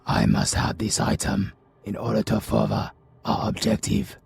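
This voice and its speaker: A man with a British accent voicing a calm, commanding male crime boss. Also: on the low side, moderate pace, creaky, slightly rough, voice pushed up, full character voice.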